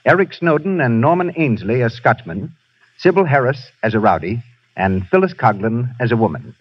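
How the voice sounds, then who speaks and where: Husky 1930s radio announcer. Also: male voice, mid-range voice. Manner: smooth persuasive sales delivery. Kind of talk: read commercial and cast credits. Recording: muffled 1930s radio broadcast.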